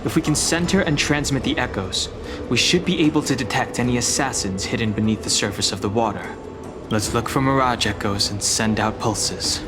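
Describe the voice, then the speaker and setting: An actor voicing a calm, guarded young warrior in a video game, speaking in composed, formal phrases. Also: male voice, medium pitch, moderate pace, over music, natural voice. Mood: measured, cautious.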